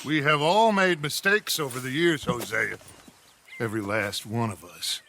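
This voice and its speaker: An actor playing a charismatic, commanding middle-aged outlaw leader in a video game, with an American accent and a theatrical, speechifying manner. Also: male voice, mid-range voice, normal speed, vocal fry, pushed and bright, slight background noise. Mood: grand, reassuring. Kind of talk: dramatic video game acting, grand oratory.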